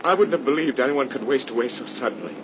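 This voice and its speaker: An earnest, troubled young man, played by a male actor in a 1930s radio melodrama. His middle-pitched voice moves with great expression, and the recording is dull and full of old hiss. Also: expressive, fast talker, lightly acted. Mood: anxious. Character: earnest, anguished young man, a devoted brother. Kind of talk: 1930s radio horror acting.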